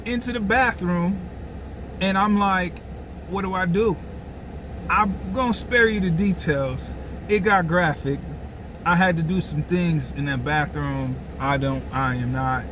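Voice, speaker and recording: A man's voice: a little high, background noise. A man telling a comic personal story casually, with slangy asides and phrases repeated for dramatic effect. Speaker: storyteller and host.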